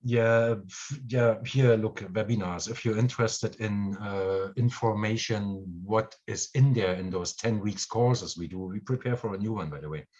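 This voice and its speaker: A German-accented man talks loosely on a live stream, stumbling over fillers and circling back to restart his thoughts. His voice sits low, and the sound is dull and lo-fi. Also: moderate pace, hardly any pauses, darkish tone. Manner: rambling, with many fillers and restarts. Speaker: host. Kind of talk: casual live-stream talk.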